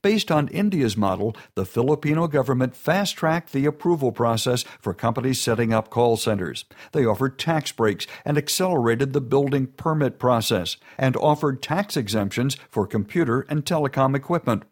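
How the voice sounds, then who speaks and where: A man giving a steady, informative scripted narration. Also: mid-range voice, moderate pace, hardly any pauses.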